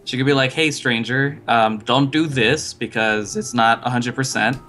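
A man's voice: mid-range voice, normal speed, pushed and bright. A man bantering casually with a friend while playing a video game. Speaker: gaming co-player. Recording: music under the voice. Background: background music.

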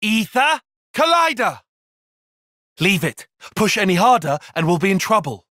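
A man's voice: high-pitched, very animated, measured pace, sharp tone, no room sound, studio quality, exaggerated voice. An actor voicing an earnest, calculating and proud young male tactician in a video game, speaking formally and precisely with intensity. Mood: battle shouting. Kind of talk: video game battle voice lines.

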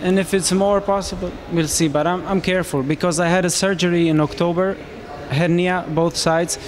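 Man, high voice, halting English. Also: steady tone, a little hiss or hum. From Romania. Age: 30s. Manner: halting non-native English with frequent restarts and laughs. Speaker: marathon runner interviewee. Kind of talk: casual interview answers. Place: indoor room, small. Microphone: handheld mic.

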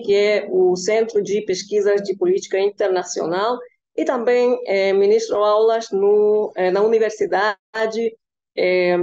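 Young woman, Hungarian-Cuban accent, academic guest, halting speech with fillers, dull webcam audio. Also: medium pitch, mellow. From Cuba. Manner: hesitant, many filler sounds. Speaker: professor and researcher, interview guest. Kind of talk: interview answer. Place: room with curtains indoors. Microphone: webcam on video call.

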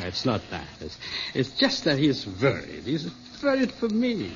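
An actor playing a gentle, courteous elderly European scientist in a radio play, polite and a little hesitant. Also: male voice, mid-range voice, huge pitch swings, normal speed. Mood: reassuring. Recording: dull, faintly hissy old radio recording.

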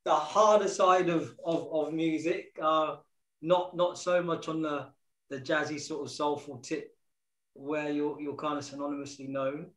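A middle-aged radio host asks his questions haltingly, repeating himself before getting to the point. His voice sits fairly high for a man, and the video call from home sounds echoey and roomy. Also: steady tone. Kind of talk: casual interview chat. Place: home room, indoors.

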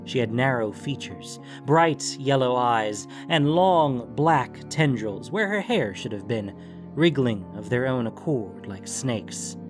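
A man's voice: medium pitch, animated, slow pace. A man narrating a scripted fantasy story as the narrator of an audio production. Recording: music under the voice.

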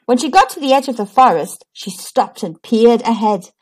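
A woman narrates a picture book for children in a high voice. The intonation swings widely, with dramatic and deliberate phrasing that plays up the story. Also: lively intonation, a little mellow, slightly rough. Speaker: storybook reader. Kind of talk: expressive scripted read-aloud for children.

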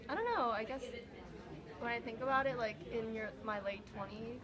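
A young woman with a slightly high voice answers carefully, often hedging. Crowd chatter sits behind her in the study area. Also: fairly even intonation, moderate pace. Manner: tentative, says "I guess" often. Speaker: interviewee, nursing student. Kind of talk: casual interview answers.

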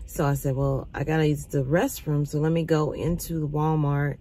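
A middle-aged woman vlogging from a parked car, her voice still slightly raspy as she recovers from illness, talking casually to her viewers and calling them y'all. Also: low voice, little pitch movement, normal speed, warm tone, slight background noise. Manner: chatty, addresses viewers as y'all. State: recovering, occasional cough. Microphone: phone camera at arm's length.

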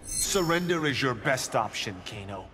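A righteous warrior monk acted by a man speaks firmly and formally at a middle pitch. It is stern game banter over music. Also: expressive, normal speed, close to an everyday voice. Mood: stern resolve. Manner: formal, firm.

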